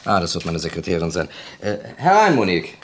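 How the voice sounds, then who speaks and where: Businessman in radio crime drama: very low voice, composed, courteous, matter-of-fact, slightly hesitant. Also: sing-song, fast, fairly bright tone, a little hiss or hum. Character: businessman son-in-law of murdered boss, polite, composed. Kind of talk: radio play acting.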